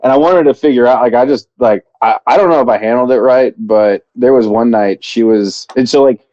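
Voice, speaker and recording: Rambling dad telling a story. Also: male voice, mid-range voice, fairly quick, a little mellow, no room sound, highs cut off. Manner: rambling, many fillers and restarts. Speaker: podcast co-host. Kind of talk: casual storytelling chat.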